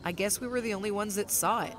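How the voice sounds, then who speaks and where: A woman's voice: mid-range voice, fast, a crowd in the background, lightly acted. A woman playing a curious, chatty young woman in an amateur audio drama, speaking in an inquisitive, conversational way.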